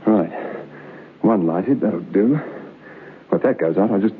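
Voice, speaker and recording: A male actor plays a man unnerved by a dark room, talking to himself slowly with stubborn, brittle bravado. It is old radio horror, thin and tinny, with some hiss behind the voice. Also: lowish voice, lightly acted. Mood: uneasy amusement. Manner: muttering to himself, nervous bravado. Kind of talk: old-time radio horror drama acting.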